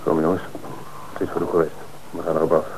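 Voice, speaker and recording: An actor playing a calm, shrewd police commissioner who gives orders and explains the case in an old radio play. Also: male voice, low voice, quick pace, slight background noise, close to an everyday voice, poor audio. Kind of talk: radio drama acting.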